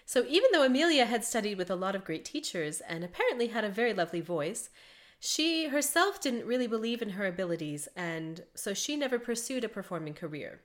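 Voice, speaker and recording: A woman's voice: mid-range voice, very animated, a little fast. A woman hosting a podcast in an easy, chatty manner, talking in an ordinary middle register. She breaks into laughter now and then as she tells her story. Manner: chatty, laughs often between facts. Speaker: podcast host and mezzo-soprano.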